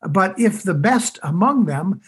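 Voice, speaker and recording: A man talks in a panel discussion, halting and searching for words with frequent fillers and restarts. His voice sits fairly high and sounds breathy and husky. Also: animated. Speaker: panel guest.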